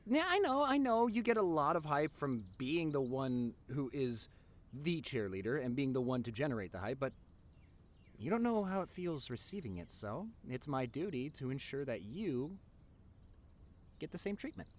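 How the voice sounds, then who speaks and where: A man voicing a nerdy young boyfriend in an intimate roleplay audio. His pitch swings widely as he stammers eagerly, affectionate and teasing, talking one-on-one to his girlfriend. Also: very animated, normal speed, lightly acted. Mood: affectionate, encouraging.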